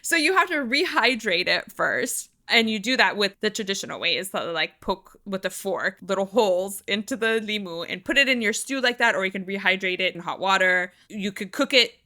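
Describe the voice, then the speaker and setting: A woman's voice: medium pitch, lively intonation, a little fast, few pauses, bright, forceful tone. A woman co-hosting a cooking podcast, chatting casually with lots of "like" fillers and easy laughter. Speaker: podcast co-host. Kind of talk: casual chat.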